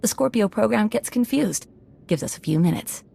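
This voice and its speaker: A woman's voice: medium pitch, lively intonation, moderate pace, vocal fry, warm tone. A woman playing a tough, streetwise prison inmate and hacker in a video game, speaking in a terse, confident, matter-of-fact way. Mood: businesslike.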